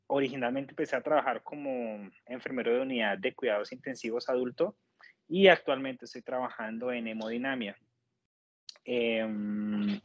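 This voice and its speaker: A man in his thirties with a Colombian accent, politely recounting his experience as an interview guest in a measured, explanatory way. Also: mid-range voice, no echo, highs cut off. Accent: Colombian Spanish. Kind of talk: conversational personal account.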